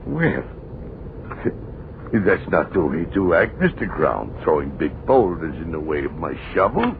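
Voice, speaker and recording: An actor playing a genteel, rambling elderly Englishman in a 1940s radio drama, quaintly polite and muttering to himself in a gravelly voice. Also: a bass voice, sing-song, unhurried, narrow, tinny audio, heavily acted. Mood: muttering, absorbed. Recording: noisy, phone-thin vintage radio recording.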